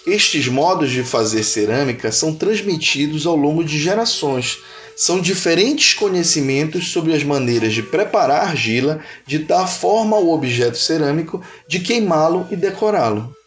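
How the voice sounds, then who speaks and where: Male narrator, Brazilian accent, slightly high voice, measured explainer delivery over music. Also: expressive. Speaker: narrator of an educational cartoon. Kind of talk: scripted educational narration.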